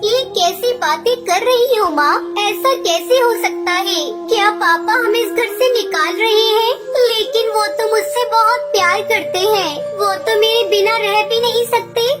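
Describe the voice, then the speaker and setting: A woman's voice: extremely high pitch, measured pace, few pauses. A woman doing a little girl sparrow. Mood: upset. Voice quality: childlike. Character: young daughter sparrow, clever and loving. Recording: loud music behind.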